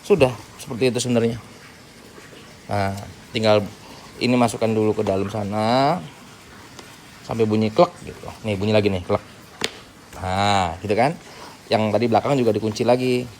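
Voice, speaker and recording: A man's voice: lowish voice, normal speed, pauses often, a little mellow. A man giving a casual hands-on tutorial, informally talking through each step as he works. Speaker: tutorial host. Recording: clearly noisy background.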